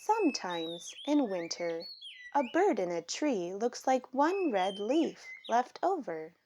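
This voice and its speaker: Lady reading a kids book real slow and expressive, voice on the high side, bit of background noise with birds chirping. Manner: deliberate, expressive phrasing for young children. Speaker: storybook reader. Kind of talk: children's picture book read-aloud.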